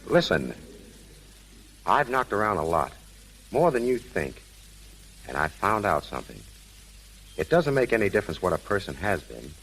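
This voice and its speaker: An actor playing a suave, guarded gentleman suitor in a 1930s radio drama. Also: sits low, very animated, normal speed, long pauses, slightly rough, full character voice. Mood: earnest. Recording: hissy, crackling 1930s radio recording.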